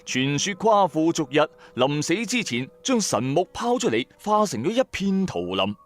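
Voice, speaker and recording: A man with a Hong Kong Cantonese accent telling a first-person story in an expressive, colloquial way, with chatty asides to the listener. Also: on the high side, moderate pace. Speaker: storyteller, narrator. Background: background music.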